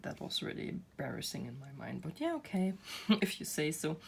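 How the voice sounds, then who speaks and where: Woman, German accent, thirties, low-pitched, casual vlog chat. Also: sing-song, normal speed, vocal fry, a little hiss or hum. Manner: chatty, self-deprecating, laughs often. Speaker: craft channel host.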